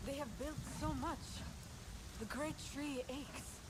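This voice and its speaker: Grave alien clanswoman for a game: higher voice, measured, quiet under noisy ambience. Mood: grave, concerned. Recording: quiet game audio under clear noise.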